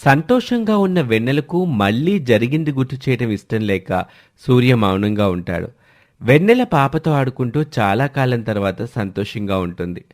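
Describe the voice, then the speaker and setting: Medium-pitched man narrating a story. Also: very animated, normal speed. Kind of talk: scripted dramatic story narration.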